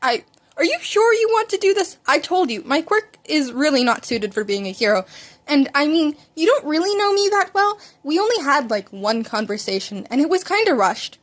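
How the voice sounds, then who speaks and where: A woman's voice: high-pitched, very animated, normal speed, pitched above the performer's own voice. A woman voicing an earnest, self-doubting teenage boy who rambles hesitantly and talks back, in a fan fiction audiobook. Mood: unsure, rambling. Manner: hesitant rambling with sarcastic retorts.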